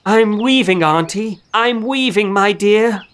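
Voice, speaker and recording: A sweet, polite little storybook girl, played by a woman, with a raised voice. Her phrasing is careful and slow. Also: medium pitch, pitched above the performer's own voice, close to an everyday voice. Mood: polite, pleading. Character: kind, polite little girl, obedient niece.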